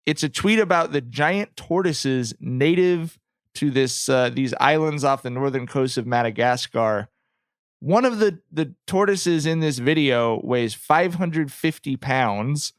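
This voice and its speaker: A man's voice: fairly high pitch, no echo. Dry-miked man in casual podcast banter. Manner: profane, wry humour. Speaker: podcast host. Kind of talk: casual comedic podcast chat.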